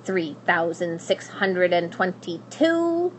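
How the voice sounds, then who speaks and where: A woman's voice: fairly low pitch, sing-song, slight background noise, poor audio. A woman voicing a cold, menacing storybook witch, stern and disdainful, drawing out her words. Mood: cold, indifferent. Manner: slow, drawn-out, disdainful.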